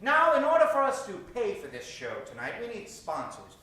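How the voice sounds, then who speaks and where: A theatrical man hosts an improv show from the stage, projecting to the crowd. His voice is bright and animated, heard at a distance in a very echoey theater. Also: extremely high pitch, fairly quick, slight background noise. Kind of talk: improv audience warm-up, gathering suggestions.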